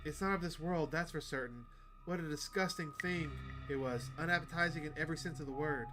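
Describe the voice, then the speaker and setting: A man voicing a fastidious, wary talking pet dog in a horror game, with a prim and disgusted manner. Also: fairly high pitch, music in the background, close to an everyday voice.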